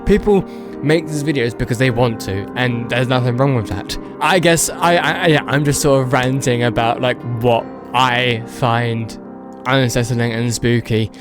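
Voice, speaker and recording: A man's voice: medium pitch, a little slow, over music. A man giving casual, unscripted video commentary, rambling and hedging as he goes and repeating words for emphasis. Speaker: video host.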